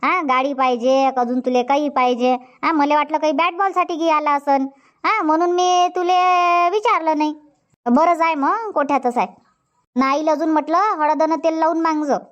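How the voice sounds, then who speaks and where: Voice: high voice, normal speed, fairly bright tone. A performer voicing a stern, nagging old village grandmother in a cartoon comedy, speaking bluntly with a Varhadi Marathi accent. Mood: grumbling. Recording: dull, muffled lo-fi audio.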